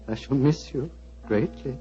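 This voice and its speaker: An actor playing a gentle, wistful old monastery abbot, speaking in a solemn, fatherly, flowery manner of 1940s radio drama. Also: medium pitch, measured pace, faint music behind, natural voice. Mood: wistful, tender. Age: older. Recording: dull, muffled 1940s radio recording.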